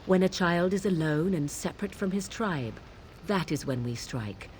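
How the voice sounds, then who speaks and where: A woman voicing a proud, aloof young witch of the wilds in a fantasy game, speaking in archaic, measured, formal phrases. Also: on the low side, expressive, darkish tone, slight background noise, lightly acted. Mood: aloof, wry. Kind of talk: fantasy video game dialogue.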